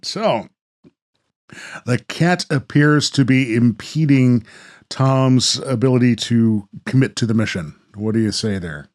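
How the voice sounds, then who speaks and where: A man's voice: medium pitch, a little slow, a little mellow. A man working as host and narrator of a radio play, moving between storytelling and casual talk.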